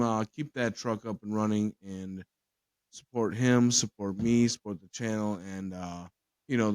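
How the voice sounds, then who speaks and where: A man's voice: on the low side, darkish tone, dry recording. A man hosting a casual podcast chat who rambles, swears freely and laughs often. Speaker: podcast host.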